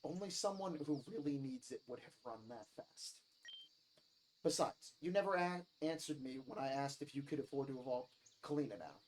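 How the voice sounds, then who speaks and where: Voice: mid-range voice, moderate pace, a little mellow, slight background noise, lightly acted, low-quality recording. A performer voicing a casual, teasing, kind-hearted teenage girl in a visual novel reading. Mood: reassuring. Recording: music behind the voice.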